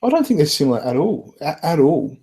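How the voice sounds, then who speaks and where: A man's voice: on the high side, big pitch swings, a little fast, mellow, breathy edge. A man with an Australian accent hosting a casual online chat, swearing freely and laughing often. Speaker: podcast host.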